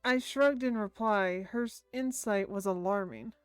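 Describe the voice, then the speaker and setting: A woman streaming a game, reading a visual novel aloud in an expressive way with casual asides. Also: mid-range voice, measured pace, smooth, no echo, faint music behind.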